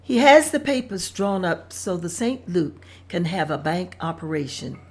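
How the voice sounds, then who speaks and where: A woman's voice: on the low side, a little slow. A woman reading out scene directions at a script table read in a plain, descriptive manner.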